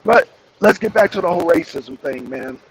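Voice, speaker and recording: Raspy high-voiced man streaming live. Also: big pitch swings, moderate pace, a little hiss or hum, poor audio. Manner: rambling, repeats phrases, greets viewers by name. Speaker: streamer hosting a wrestling show. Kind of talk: casual live-stream talk to chat.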